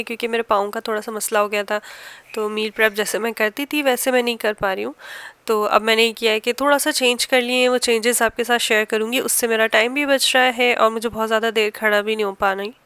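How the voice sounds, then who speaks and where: Pakistani lady chatting through her home vlog, really smooth clean voice, dry close sound. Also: fairly high pitch, fairly bright tone, dry recording. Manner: chatty, explanatory, homely everyday talk. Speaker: home cooking and family vlogger. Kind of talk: casual vlog voice-over narration.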